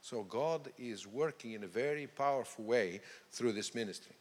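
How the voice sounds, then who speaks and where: A man with a Bulgarian accent answers questions on stage as a missionary guest, halting and restarting as he goes. His voice sits at an ordinary male pitch. A long reverb follows him through a large hall. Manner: halting, with many restarts and fillers. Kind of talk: interview on stage.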